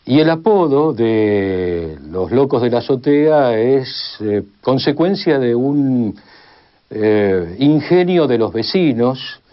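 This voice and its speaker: A man's voice: mid-range voice, lively intonation, takes it slowly. An Argentine man giving an unscripted explanation as an expert being interviewed about history. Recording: slightly dull audio.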